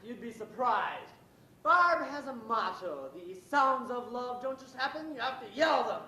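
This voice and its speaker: A man's voice: very high voice, lively intonation, a little slow, bright, forceful tone, heavily acted. A man playing a defensive, lovestruck teenage boy in an amateur stage play. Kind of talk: amateur one-act stage play acting. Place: auditorium stage, miked from far off. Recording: echoey distant stage recording with noise.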